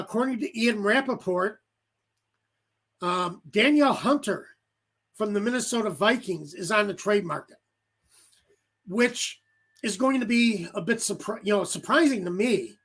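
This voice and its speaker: Slow-talking raspy male sports show host. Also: high voice, fairly bright tone. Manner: rambling, many fillers and self-corrections. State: voice getting raspy. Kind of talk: casual sports commentary.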